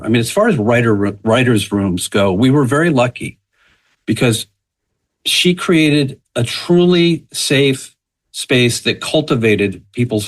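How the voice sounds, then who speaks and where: Man reminiscing in emphatic, broken phrases. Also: mid-range voice. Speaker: television writer, panel guest. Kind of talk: anecdotal recollection in a podcast conversation.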